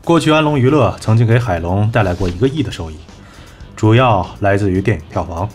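A man's voice: lowish voice, animated, a little fast, soft background music, close to an everyday voice. A man playing a confident, wry talent manager turned executive in an audio drama, assured and dry. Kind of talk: Chinese audio drama acting.

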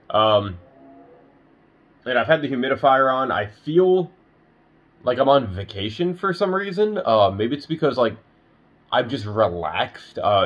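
A man's voice: lowish voice, lo-fi sound. A young man talking casually to his phone camera in a bedroom, rambling with lots of fillers, still a little nasal from being sick. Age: young adult. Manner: chatty and rambling, many "um"s and "you know"s. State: a little nasal, recovering from illness. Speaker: vlogger. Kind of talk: casual daily update vlog. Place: bedroom indoors. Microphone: phone camera at arm's length.

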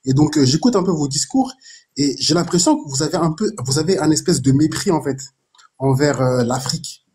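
A man's voice: a little high, animated, a little fast, darkish tone. A man calling in to a live stream, polite and hesitant, often stammering. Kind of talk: casual call-in chat.

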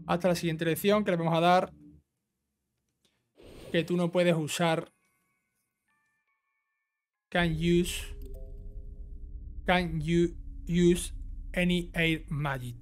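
Higher-voiced man guiding through a game. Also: fairly even intonation, slow pace, pauses often. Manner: instructional, often checking in with the listener. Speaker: video game guide host. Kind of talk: casual step-by-step gameplay walkthrough commentary. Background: game music.

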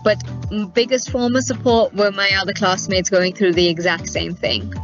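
Brief: young woman, measured testimonial, dull webcam sound. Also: medium pitch, fairly even intonation, faint music behind. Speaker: recent graduate. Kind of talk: testimonial to camera.

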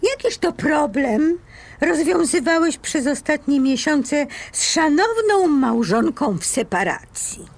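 An actress playing a suspicious, fed-up woman in an audio drama, sarcastic and cutting with drawn-out emphasis. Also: sits high, sing-song, vocal fry, heavily acted. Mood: bitterly sarcastic. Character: fed-up, suspicious woman confronting her unfaithful partner.

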